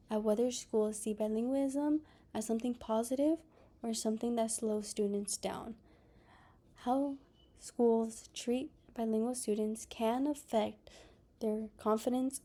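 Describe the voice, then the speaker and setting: A young woman whose first language is Spanish gives a class presentation at a slow, unhurried pace. Her voice is mellow and fairly high, with a flat, even delivery. She pauses often and restarts phrases as she goes. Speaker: student presenter. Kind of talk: class presentation, partly read, partly personal.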